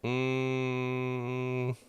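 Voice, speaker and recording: A man's voice: medium pitch, completely flat delivery, very slow, clean tone. A man doing casual livestream game commentary, muttering his running thoughts to himself as he plays. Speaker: game streamer.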